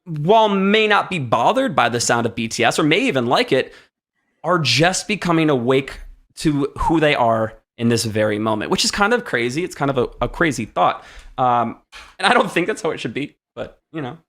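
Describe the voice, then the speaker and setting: A man's voice: a little high, big pitch swings, normal speed, a bit bright. A man hosting a talk show, giving conversational commentary in an emphatic, explanatory way and often checking in with rhetorical questions. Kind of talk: conversational music industry commentary.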